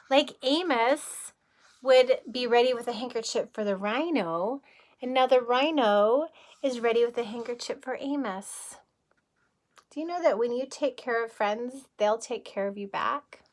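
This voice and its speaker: Slow, high-voiced woman reading to young children. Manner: expressive, gentle, asks the listeners questions. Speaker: kindergarten teacher reading aloud. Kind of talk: children's picture book read-aloud with asides and questions.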